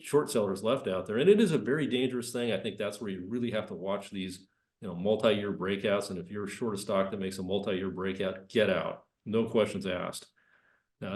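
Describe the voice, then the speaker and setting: A man with a lowish voice, a little rough from a cold, talks through market commentary. He rambles and restarts as he goes, and his voice carries a roomy echo. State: has a cold. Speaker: investment presenter.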